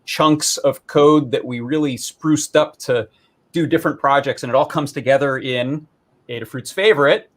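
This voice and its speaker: A man's voice: medium pitch, moderate pace. A man giving a casual, informal live demo, explaining technical details in a rambling, self-deprecating way. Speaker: maker presenting a hobby electronics project.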